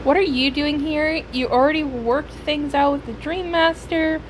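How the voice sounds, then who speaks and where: A woman's voice: sits high, a little slow, noisy background. A woman giving casual gaming commentary as she plays, playful and wry, with slangy asides. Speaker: game streamer.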